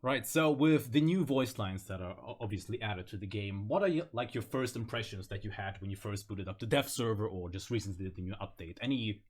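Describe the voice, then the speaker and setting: Casual male host with a lowish voice. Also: lively intonation, brisk, few pauses. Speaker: host, interviewer. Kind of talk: casual interview chat.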